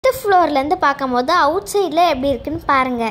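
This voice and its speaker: Female vlog narrator, extremely high voice, scripted tour-guide delivery. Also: expressive, fast talker, music in the background. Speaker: vlogger narrator.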